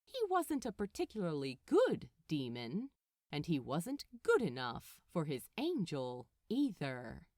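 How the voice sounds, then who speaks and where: Highly expressive woman storyteller, unhurried pace. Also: dry recording, clean studio sound. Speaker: podfic narrator. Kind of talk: expressive scripted story narration.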